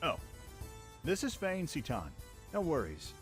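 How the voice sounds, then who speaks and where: An actor plays a young adventurer prince in an audio drama. The delivery is very lively in pitch, breezy and confident, over faint music. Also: unhurried. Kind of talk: radio play acting, fan production.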